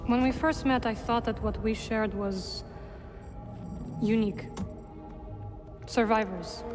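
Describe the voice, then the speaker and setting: A woman playing a solemn, loyal young warrior survivor in a video game, with deliberate, formal phrasing. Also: fairly high pitch. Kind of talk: cinematic video game acting. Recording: reverberant hall, music underneath.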